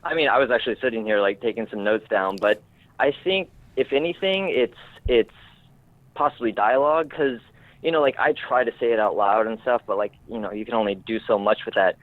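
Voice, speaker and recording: A thin, band-limited recording with a dry sound carries a low-voiced man. He talks casually as a writer being interviewed, feeling for his words and playing himself down in an informal way. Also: dry recording, narrow, tinny audio. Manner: informal, self-deprecating, halting and searching for words. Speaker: screenwriter guest.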